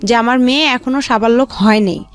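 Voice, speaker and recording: A woman's voice: fairly high pitch, normal speed. A woman telling her life story informally as an interview guest, rambling along step by step. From Rangpur region, Bangladesh. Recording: music behind the voice. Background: background music.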